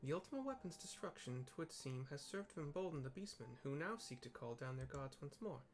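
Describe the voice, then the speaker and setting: A man's voice: fairly high pitch, very animated, fairly quick. A man voicing an earnest, dutiful female leader in a fantasy game, speaking in formal, old-fashioned phrases with careful diction. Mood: grave, concerned.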